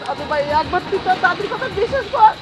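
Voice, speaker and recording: Village-drama grandmother played by an actress: extremely high voice, anxious, insistent, protective, music underneath. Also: expressive, quick pace, a crowd in the background, rough recording. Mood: pleading. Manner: pleading, scolding, insistent.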